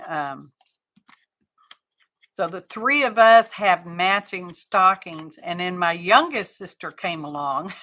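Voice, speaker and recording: Middle-aged woman, unhurried chatty craft host, bright edge to the voice. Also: fairly low pitch. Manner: chatty, rambling, talks to chat viewers. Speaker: craft live-stream host. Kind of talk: casual chat while crafting on a live stream.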